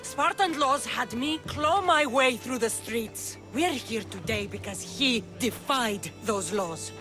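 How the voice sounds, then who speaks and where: A woman's voice: fairly high pitch, lively intonation, takes it slowly. An actress playing a bold, blunt young Spartan warrior woman in dramatic video game dialogue. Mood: defiant. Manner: blunt, defiant. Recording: loud music behind the voice. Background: loud game music.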